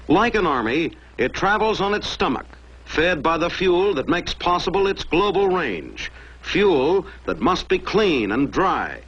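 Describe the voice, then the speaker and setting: A man's voice: on the high side, very animated, a little slow, fairly bright tone, breathy edge. An American man narrating a mid-century military training film from a script in a dramatic, emphatic manner. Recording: dull lo-fi old film soundtrack.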